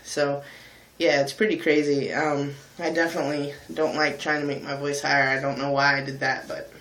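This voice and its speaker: A man talking candidly in a casual vlog, thoughtful and pausing often with ums. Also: a little high, flat delivery, a bit bright, roomy sound, poor audio. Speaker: vlogger and singer. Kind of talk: casual reflective vlog talk.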